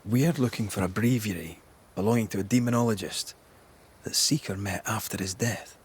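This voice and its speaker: Plain-spoken Scottish ghost hunter. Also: male voice, lowish voice, moderate pace, breathy edge. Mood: wry, puzzled. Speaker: game voice actor. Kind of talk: dramatic game dialogue.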